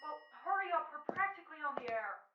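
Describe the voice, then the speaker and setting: A woman's voice: high-pitched, expressive, fast talker, heavy background noise. A woman playing a bossy, impatient director in an old-time radio comedy, brusque and slangy. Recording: distant, echoey, phone-like online call.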